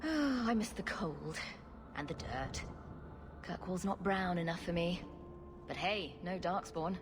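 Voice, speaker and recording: A woman's voice: on the low side, expressive, slow, noisy background. A woman playing a young human heroine in a fantasy video game, speaking in a dry, wry and direct manner.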